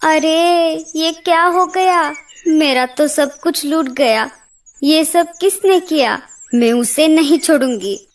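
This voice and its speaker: A woman's voice: high-pitched, slow pace. A woman voicing a grieving, vengeful mother bird with dramatic, distraught delivery in a children's cartoon story.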